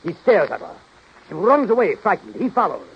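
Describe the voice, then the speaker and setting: An actor playing a zealous film man in a 1930s radio comedy, pitching melodramatic scenes with emphatic drama. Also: wildly swooping pitch, normal speed, slightly rough, heavily acted. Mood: excited pitching. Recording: noisy dull vintage 1930s radio recording.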